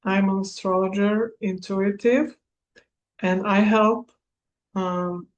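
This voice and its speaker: A woman in her thirties from Serbia, a native Hungarian speaker, giving a recorded spiritual coaching class in a measured manner. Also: medium pitch, highs cut off. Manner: slow, measured, with small laughs. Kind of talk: recorded masterclass talk.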